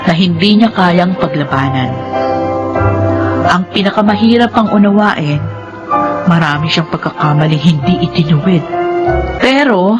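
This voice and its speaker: An actress playing a reflective, resolute young woman in a radio drama, earnest and measured. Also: on the low side, expressive. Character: Connie, reflective, resolute young woman narrating her life. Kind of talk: Filipino radio drama narration and dialogue. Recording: dull sound under loud music.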